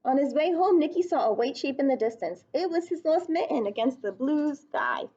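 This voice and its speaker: A woman's voice: high voice, big pitch swings, normal speed, warm tone, muffled recording. A woman reading a picture book aloud to young children, speaking expressively and putting questions to her listeners.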